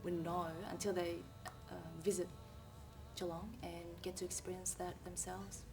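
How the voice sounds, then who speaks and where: A woman's voice: fairly low pitch, level tone, normal speed. A woman who performed with a community group, speaking upbeat with fillers. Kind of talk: casual interview. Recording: distant from mic, music behind.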